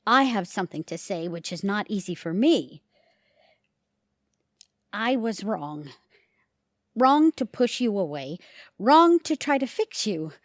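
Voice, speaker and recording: A woman playing a middle-aged mother and wife in an audio drama, earnest and wry, with deliberate, theatrical delivery. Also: medium pitch, no echo, studio quality.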